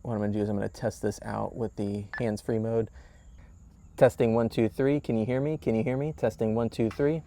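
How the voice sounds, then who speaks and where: A man's voice: lowish voice, steady tone, a little fast, creaky, dark tone, a little hiss or hum. A man, sounding middle-aged, gives a casual product demonstration in a plain-spoken, explanatory way. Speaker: product reviewer.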